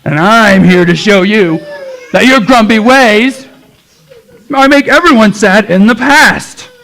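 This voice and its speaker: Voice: medium pitch, takes it slowly, vocal fry, a bit bright, rough recording. A performer voicing a preachy animal spirit of Christmas in a children's puppet show, scolding and declaiming.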